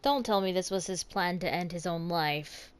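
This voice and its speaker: A woman's voice: fairly low pitch, normal speed, clean tone, soft background music. A woman streaming her reaction to a game story, with casual, informal, slangy commentary. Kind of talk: casual live gameplay commentary.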